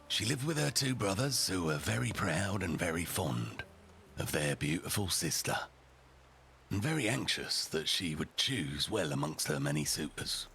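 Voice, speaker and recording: A low, gravel-edged male storyteller , a character a man puts on for roleplay, rough and ready and fond of swearing. He narrates like someone reading a grim storybook, deliberate and theatrical, lingering on his pauses. Faint ambient noise sits behind the voice. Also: fairly bright tone, full character voice.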